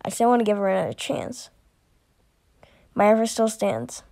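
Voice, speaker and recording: A woman voicing amateur character dialogue for an animated story. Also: medium pitch, moderate pace, gravelly. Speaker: creator voicing all characters of an animated series.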